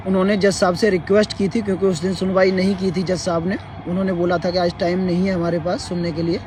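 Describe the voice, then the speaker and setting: A man with a sore, hoarse throat hosting a casual live update, halting with fillers and clearing his throat. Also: high-pitched, darkish tone, slight background noise, rough recording. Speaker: live stream host. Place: sitting outdoors near a court.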